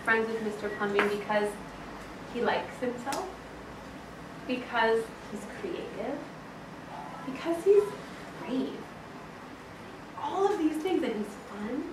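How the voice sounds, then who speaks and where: A woman schoolteacher reading a picture book aloud to a class, with dramatic, expressive storytelling and emphasis on key words. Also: fairly high pitch, slow, long pauses. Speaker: elementary school teacher. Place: indoor school classroom, with children present. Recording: roomy, distant, noisy classroom recording.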